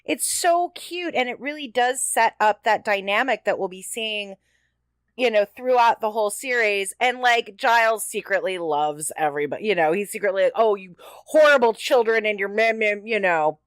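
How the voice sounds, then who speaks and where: A woman co-hosting a casual podcast chat about television, talking with gushing, animated enthusiasm. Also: mid-range voice, normal speed, dry recording, studio quality. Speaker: podcast co-host. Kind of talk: casual TV episode discussion chat.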